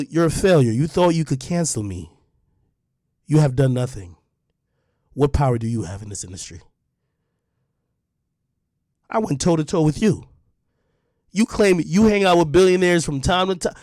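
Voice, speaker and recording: A man's voice: fairly high pitch, a little mellow, dry recording, studio quality. A young adult man delivering a confrontational rant straight at the listener, repeating his points with heat. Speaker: online media personality. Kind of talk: direct-to-camera rant.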